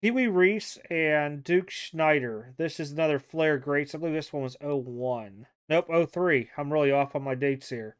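A man's voice: a little high, moderate pace, hardly any pauses, clean tone. A man from Ohio talking casually about cards he picked up, rambling and correcting himself as he goes. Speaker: card dealer and vlogger. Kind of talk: casual vlog showing trading cards. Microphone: desk mic, off camera.

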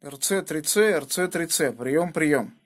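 Guy on ham radio chatting casually, bit high pitched, phone mic in a room, slight background noise. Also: animated, a little slow. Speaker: amateur radio operator. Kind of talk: casual ham radio exchange with call signs. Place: small indoor room at a home radio station. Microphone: phone held near the radio.

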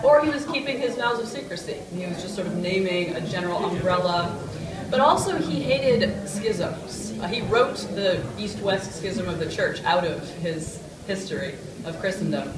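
A woman in her thirties answering audience questions in an articulate, enthusiastic, off-the-cuff academic style. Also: medium pitch. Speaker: lecturer taking audience questions. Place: hotel conference room. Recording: roomy, distant mic, audience noise.

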